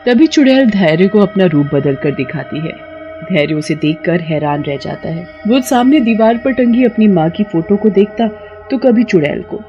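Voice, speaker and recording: A woman's voice: medium pitch, expressive, moderate pace, dull audio, faint music behind. A woman reading scripted cartoon story narration as the narrator.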